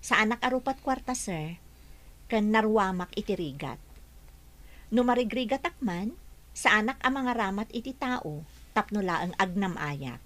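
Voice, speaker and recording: A woman's voice: medium pitch, animated, a little slow, a bit bright, no echo. An actress playing a hardworking young woman who talks back earnestly.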